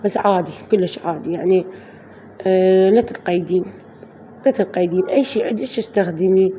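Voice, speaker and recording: A woman with an Iraqi Arabic accent, hoarse from a flu, chatting through a voice-over of her daily home routine for her viewers. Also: fairly low pitch, a little fast, dark tone, slight background noise, rough recording. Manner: chatty, addresses viewers as girls. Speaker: vlogger.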